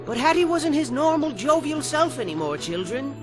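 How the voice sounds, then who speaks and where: An actor playing a flamboyant, excitable male game narrator who rambles theatrically between grandiose speeches and petulant outbursts. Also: very high-pitched, normal speed. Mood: melodramatic. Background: game music.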